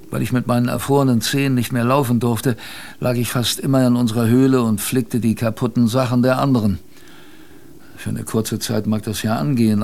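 A man plays a survivor of a polar expedition narrating a radio drama. His voice is mid-pitched and creaky. He tells of hardship in a thoughtful, colloquial and wry way. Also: darkish tone, lightly acted. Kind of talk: conversational storytelling in a German radio drama.

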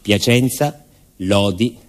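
Theatrical male narrator, deliberate and emphatic. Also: fairly low pitch. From Salento, southern Italy. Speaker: stage narrator and actor.

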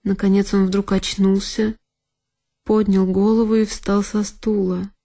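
An actress playing a frightened young girl who recalls a dreadful night in a measured, literary audio drama narration. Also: mid-range voice, flat delivery, mellow, lightly acted. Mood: tense, watchful. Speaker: solo performer of an audio drama. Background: music behind the voice.

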